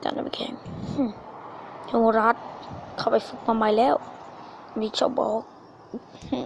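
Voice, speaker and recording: A woman improvising a story with acted character lines, speaking haltingly and repeating phrases, on an amateur phone recording. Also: a little high, little pitch movement, creaky, a little hiss or hum, poor audio.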